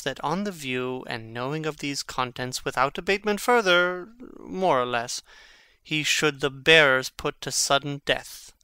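An actor playing an intense, brooding young prince in a classical Shakespearean dramatic reading, with theatrical, emphatic phrasing. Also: male voice, mid-range voice, sharp tone, clean tone, no room sound. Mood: wry, resolute.

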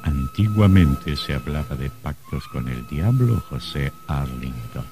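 A man narrating a suspenseful radio drama in a solemn, measured, theatrical way. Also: rumbling low, expressive, over music.

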